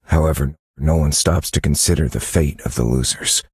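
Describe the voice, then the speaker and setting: A brooding game detective, super deep husky voice, grave n dramatic storytelling. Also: male voice, a little mellow, dry recording, studio quality, full character voice. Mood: ominous, grave. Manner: grave, measured, ominous storytelling. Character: brooding male detective piecing together a dark mystery. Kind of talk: scripted dramatic video game narration.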